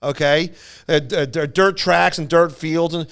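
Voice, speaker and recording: A man's voice: on the high side, steady tone, normal speed, creaky, fairly bright tone. A man telling a casual anecdote on a podcast, rambling, stammering and repeating himself as he goes. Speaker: storyteller on a podcast.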